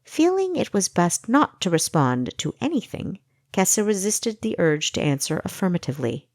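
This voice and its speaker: Woman, audiobook fiction narrator, slightly low pitch, measured expressive storytelling, dry studio-like sound. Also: no echo. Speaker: author reading own audiobook.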